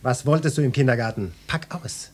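An actor playing a brusque, swaggering male police inspector in a radio drama, gruff and bossy as he questions people. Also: medium pitch, fairly quick, a little mellow, natural voice. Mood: bullying.

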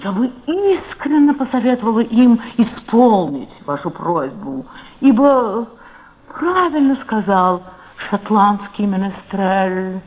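An imperious queen in a theatrical radio play. She speaks very slowly and declaims with stately poise and dry wit. The old recording sounds dull and muffled. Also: mid-range voice, a little hiss or hum. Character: imperious, witty queen of Elizabethan England.

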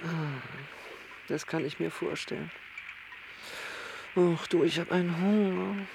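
An actor playing an eager, cheeky rat who prods his companion in an expressive way in a radio play. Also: on the high side, slow pace, creaky. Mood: puzzled. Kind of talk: German radio play acting. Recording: noisy old radio drama recording.

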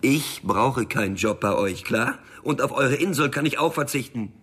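An actor playing a defiant, sardonic small-time hustler in a radio thriller, with a husky, creaky voice and blunt delivery. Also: male voice, medium pitch, expressive, normal speed, a bit bright. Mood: defiant, angry. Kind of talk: radio crime drama acting.

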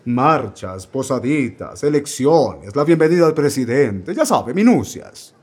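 A man's voice: mid-range voice, very animated, darkish tone, slightly rough. A man playing a sly chuckling devil. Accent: Mexican. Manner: sly, chuckling, drawn-out and courtly. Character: sly smooth-talking devil, male adult. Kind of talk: comedy radio drama.

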